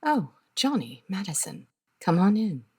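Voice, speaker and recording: A woman playing a sad, polite widow in an audio drama, subdued and rueful, with a slightly husky voice. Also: mid-range voice, big pitch swings, a little slow, exaggerated voice.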